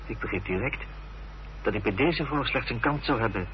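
An actor playing a gentleman narrator recounting a mystery in measured, literary radio drama narration. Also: medium pitch, natural voice. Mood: sober, measured. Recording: dull, noisy, humming old radio recording.

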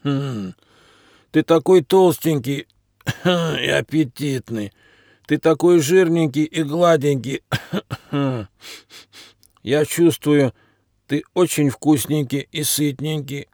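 Wheezy, coughing old stray dog. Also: male voice, on the high side, a little mellow, no echo, studio quality, pitched above the performer's own voice. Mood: hungry, menacing. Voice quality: wheezy, coughing between phrases. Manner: grumbling, plaintive, halting delivery. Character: old, hungry, bitter stray dog, self-pitying.

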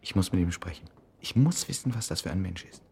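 A man acting a troubled, brooding scholar's inner monologue in a radio play, with a gravelly voice. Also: deep voice, lively intonation, a little fast, a little mellow, heavily acted. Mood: intrigued, musing. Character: troubled, brooding male scholar absorbed in his thoughts.

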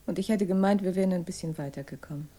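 An actress playing a pensive, quietly insistent woman confidante in a radio crime drama, her voice husky and breathy. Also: on the low side, fast talker. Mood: pensive, disappointed. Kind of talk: 1960s German radio crime drama acting.

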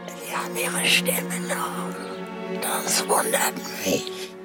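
Whispery, frail old woman, slow. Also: lowish voice, completely flat delivery. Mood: eerie, resigned. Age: older. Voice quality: breathy, whispery. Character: frail, resigned old mother imprisoned by her son. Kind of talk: radio drama acting. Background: loud music.

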